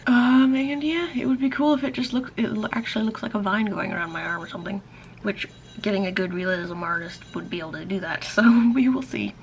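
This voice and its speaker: A young woman vlogging in a casual, rambling way, her voice raspy and tired, grumbling and swearing now and then. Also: medium pitch, a little fast, a little hiss or hum, low-quality recording. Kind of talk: casual vlog chat.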